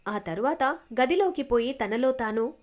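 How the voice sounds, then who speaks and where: A woman tells a scripted moral tale. Her voice is a little high in pitch, and she speaks as a storyteller narrating events. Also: expressive, unhurried.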